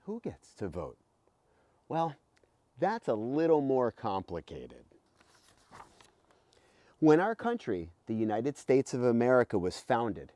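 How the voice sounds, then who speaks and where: A middle-aged man reads aloud to children like an expressive teacher. His pitch moves widely as he stresses key words and explains as he goes. The voice is soft-edged and mellow, captured dry and close. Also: no room sound. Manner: expressive, emphatic, with rhetorical questions. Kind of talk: animated read-aloud for children with explanatory asides. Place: outdoors beside a house.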